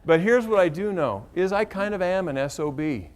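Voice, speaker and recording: A man's voice: sits high, animated, moderate pace. An American man speaking as an education administrator in an informal motivational speech, blunt and emphatic, repeating key phrases for weight.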